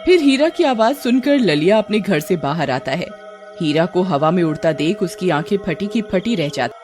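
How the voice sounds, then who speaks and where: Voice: medium pitch, lively intonation, moderate pace, darkish tone. A narrator telling a scripted horror story in the third person. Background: music.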